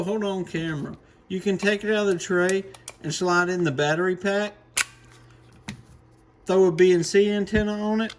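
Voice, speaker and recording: A man giving a casual, folksy, plain-spoken product talk to camera as a hobbyist presenter. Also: high-pitched.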